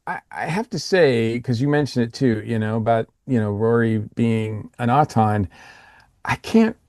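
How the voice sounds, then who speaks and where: A man's voice: mid-range voice, normal speed, darkish tone, no room sound, clean studio sound. A man chatting casually as a podcast panelist, hesitant and often repeating his words. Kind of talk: casual chat.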